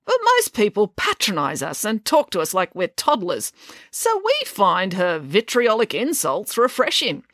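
A woman's voice: mid-range voice, wildly swooping pitch, unhurried, fairly bright tone, no echo, studio quality, pitched above the performer's own voice, heavily acted. Woman as a frank, pedantic old man. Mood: cheerfully frank. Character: frank, dry octogenarian man from retirement home. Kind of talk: children's audiobook character acting.

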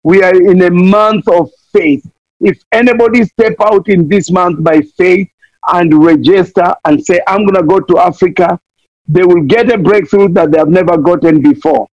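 A man's voice: sits high, normal speed, a little mellow, dry recording. A man from Kenya, preaching fervently as a missionary guest, pleading again and again and playing on words. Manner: impassioned, repeats pleas, wordplay. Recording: dull lo-fi dry sound.

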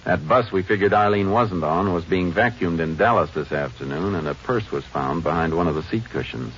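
A deep-voiced steady Texas Ranger. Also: male voice, fairly quick, a little hiss or hum. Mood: firm. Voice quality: calm, clipped, matter-of-fact. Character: steady, no-nonsense male Texas Ranger investigator. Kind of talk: 1950s radio drama acting. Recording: dull old radio broadcast with music.

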